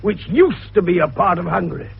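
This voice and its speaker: High, husky, wheezy old British detective doctor. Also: male voice, animated, a little fast, exaggerated voice. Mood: explaining triumphantly. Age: older. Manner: avuncular, folksy, expansive, teasing. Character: jovial, shrewd, wheezy older amateur detective doctor, male. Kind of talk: 1950s British radio drama acting. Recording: dull vintage radio recording.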